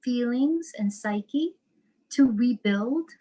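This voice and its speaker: A woman author and psychotherapist answering interview questions in a measured, reflective way over a video call. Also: on the high side, animated, warm tone, poor audio. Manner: slow, measured, thoughtful with repetitions.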